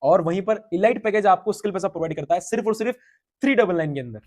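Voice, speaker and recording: A man giving an energetic sales pitch for a referral income scheme, piling on hyped repetition.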